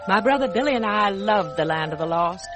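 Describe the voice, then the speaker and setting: A woman's voice: mid-range voice, animated, a little fast, over music. A woman narrating a scripted children's fantasy as host and storyteller, in 1940s radio style.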